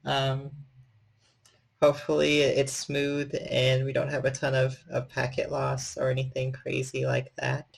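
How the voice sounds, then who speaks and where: A man's voice: mid-range voice, monotone, unhurried, vocal fry. A man chatting casually as the host and storyteller of a livestream. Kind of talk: casual livestream hosting chat.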